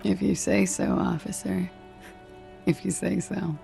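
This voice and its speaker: An actress playing a world-weary, evasive woman in a detective video game, dry, laconic and sardonic, with a very creaky voice. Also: mid-range voice, level tone, measured pace, warm tone, faint music behind. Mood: evasive, wry.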